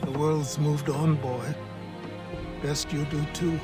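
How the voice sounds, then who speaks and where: An actor playing a gruff, bitter older mentor who talks with curt, scornful sarcasm in a dramatic video game. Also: male voice, fairly high pitch, flat delivery, takes it slowly, loud music behind the voice. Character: bitter, gruff old mentor guarding his land.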